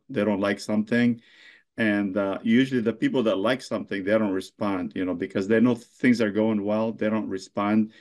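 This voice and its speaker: A middle-aged man from Lebanon with a fairly low voice speaks off the cuff. He answers in a halting way, with many fillers, repeated words and restarts. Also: a little mellow. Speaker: mayor being interviewed. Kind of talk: unscripted interview answers.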